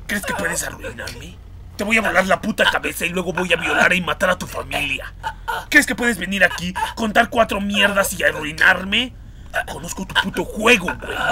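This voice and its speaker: A man's voice: high-pitched, lively intonation, normal speed, sharp tone, slight background noise, full character voice. A man with a Latin American Spanish accent playing a volatile, paranoid, foul-mouthed gangster in a crime game fan dub. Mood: menacing. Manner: menacing, profane.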